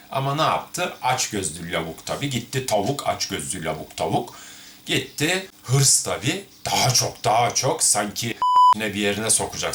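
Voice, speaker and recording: A man telling tales as an amateur storyteller, in an expressive, theatrical and colloquial way with a sardonic streak. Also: fairly low pitch, slight background noise. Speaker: amateur storyteller, host of a tale-reading series. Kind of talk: expressive fairy-tale reading with informal commentary.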